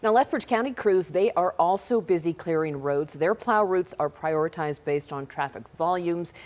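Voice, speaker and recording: A woman's voice: fairly low pitch, animated, moderate pace, darkish tone. A Canadian woman reading scripted local news as an anchor.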